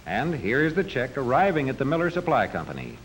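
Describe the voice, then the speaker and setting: Animated 1940s male educational film narrator. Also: medium pitch, brisk. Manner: didactic, clearly explanatory. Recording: noisy 1940s film soundtrack.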